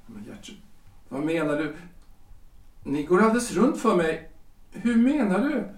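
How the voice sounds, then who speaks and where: An actor playing an anxious, self-important lawyer husband in his forties in a period audio drama, halting and repeating himself. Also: high voice, sing-song, distant mic. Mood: shocked, bewildered. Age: 40s. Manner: hesitant, halting, repeating words and phrases. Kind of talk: period stage-play audio drama acting. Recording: roomy echo, off the mic.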